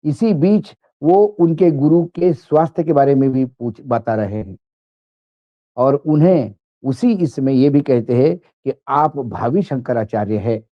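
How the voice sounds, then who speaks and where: A man's voice: mid-range voice, a little slow, muffled recording. A man presenting political commentary to viewers in an emphatic, rhetorical way.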